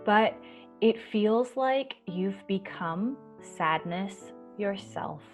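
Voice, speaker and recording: A woman hosting a children's storytime, reading and explaining in a soft-spoken, reassuring, measured way. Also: medium pitch, lively intonation, over music. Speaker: children's library storytime host. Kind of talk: gentle picture-book reading and explaining to children.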